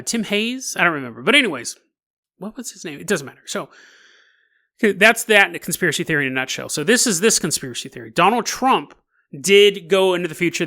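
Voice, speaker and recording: A male podcast host with a high, bright, forceful voice. He tells conspiracy stories in a casual, self-amused way. He leans on key words and repeats himself for emphasis. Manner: jokey, self-amused, chuckles through his points. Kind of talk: casual solo storytelling about conspiracy theories.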